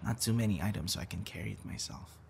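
A man's voice: lowish voice, fast talker, vocal fry, slight background noise. A young man acting a teasing, friendly boyfriend figure in an intimate, casual audio roleplay. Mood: casual, playful. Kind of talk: ASMR boyfriend roleplay, intimate one-sided dialogue.

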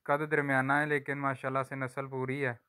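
A man gives a flat, even-toned sales walkthrough of cattle on an outdoor farm. His voice is smooth and clear, and he talks in steady, unhurried patter. He is recorded on a handheld phone. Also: mid-range voice. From Pakistan. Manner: repeats a stock religious phrase often. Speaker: livestock seller vlogger.

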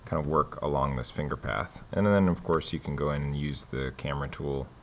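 A man hosting a casual screen-recorded software tutorial, relaxed and full of fillers and restarts. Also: a bass voice, fairly quick, slight background noise.

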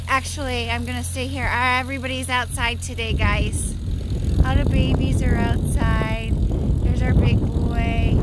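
Slow-talking American woman over loud engine noise. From Western New York, USA. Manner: unhurried, addressing viewers as guys. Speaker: homestead vlogger. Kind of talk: casual live-stream chat. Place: outdoors on a farm driveway. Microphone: handheld phone. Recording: noisy outdoor phone, tractor engine. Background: engine rumble and outdoor noise.

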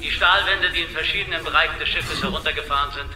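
An actor playing a calm, official cruise captain making a formal, reassuring announcement over a ship's PA in a radio drama. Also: male voice, on the high side, quick pace, slight background noise. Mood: official reassurance. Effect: ship's public address speaker. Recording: echoey, distant PA announcement.

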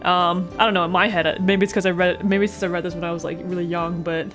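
A woman's voice: on the low side, little pitch movement, fast, over music. Rambling, giggly young woman streamer. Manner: rambling, giggly, trails off mid-thought. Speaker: let's play streamer. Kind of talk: casual reaction commentary.

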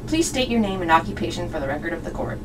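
A woman voicing a cool, condescending male prosecutor, formal and measured, in an amateur puppet show. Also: fairly low pitch, very animated, voice pushed down. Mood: composed. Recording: distant mic with clear background noise.

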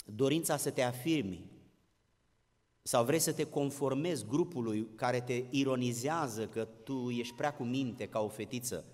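A man preaching a sermon in a plain-spoken, didactic manner, addressing his listeners directly. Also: medium pitch. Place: large indoor hall with long reverb. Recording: large reverberant hall.

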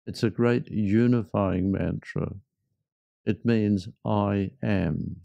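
A man leading a guided meditation in a measured, reflective manner with frequent pauses. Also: lowish voice, steady tone, gravelly, warm tone, no echo, highs cut off.

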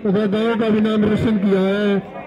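A man announcing at a volleyball tournament, ceremoniously welcoming and thanking a guest over the loudspeaker. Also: sits high, level tone, measured pace. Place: outdoor floodlit sand volleyball ground at night. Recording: muffled loudspeaker from afar over crowd.

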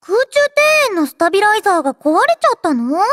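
A woman's voice: extremely high pitch, very animated, fairly quick, fairly bright tone, no echo, clean studio sound, exaggerated voice. A woman voicing a playful, affectionate, childlike robot girl in an anime game, with a cutesy, teasing manner. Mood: flustered. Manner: cutesy, refers to herself in third person, teasing. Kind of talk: anime game character voice lines.

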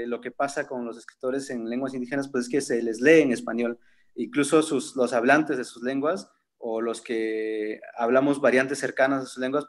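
Zapotec-native guy in his 30s on a video call, musing slowly with lots of ums and restarts. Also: mid-range voice. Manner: thoughtful, many fillers and restarts. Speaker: seminar participant. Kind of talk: reflective conversational talk. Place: room at home with framed pictures. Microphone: laptop webcam on a video call.

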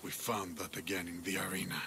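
A man's voice: deep voice, flat delivery, fast, background noise. A man voicing a proud, battle-worn former arena champion, grave and declamatory, in a video game. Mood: solemn, nostalgic. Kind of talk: video game character acting.

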